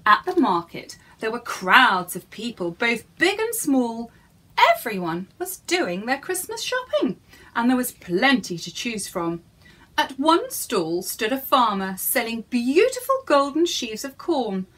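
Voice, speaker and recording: A woman's voice: on the high side, wildly swooping pitch, measured pace, a bit bright. A woman reading a storybook to young children in a theatrical way, addressing her listeners directly.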